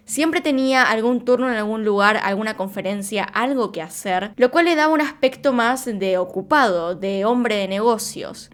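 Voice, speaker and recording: A woman narrating a true crime story in a calm, scripted way, speaking directly to her viewers. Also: on the high side. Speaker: true crime channel host.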